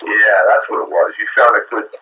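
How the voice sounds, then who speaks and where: A man's voice: on the low side, brisk. A man talking casually as a radio operator, heard through a muffled two-way radio with faint static. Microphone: radio set microphone heard over air.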